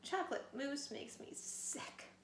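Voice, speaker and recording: A woman's voice: takes it slowly, reverberant, slight background noise, close to an everyday voice. A woman voicing a whiny young boy wolf cub who complains about his dinner. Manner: whining, complaining.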